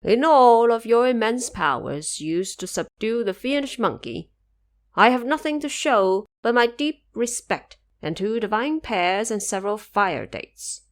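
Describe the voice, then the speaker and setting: A woman's voice: a little high, lively intonation, measured pace. A woman voicing a reverent, humble male immortal in an audio drama, with a deferential, formal manner. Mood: humble, admiring. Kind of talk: audio drama character acting.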